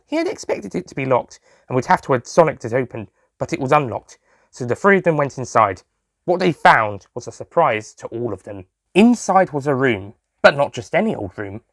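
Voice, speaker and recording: Male narrator for scripted fiction, medium pitch, very varied intonation, dry sound. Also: sing-song, moderate pace, no room sound. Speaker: writer and narrator.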